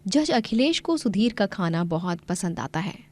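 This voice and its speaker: A woman's voice: mid-range voice, very animated, moderate pace. A woman narrator reading a scripted moral story.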